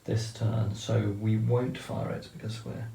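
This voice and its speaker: A man's voice: fairly low pitch, little pitch movement, unhurried, creaky, warm tone, echoey room, a little hiss or hum. A man methodically explaining each step of a tabletop wargame turn, talking through the rules as he plays. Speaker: wargame player explaining turns. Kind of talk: tabletop game commentary.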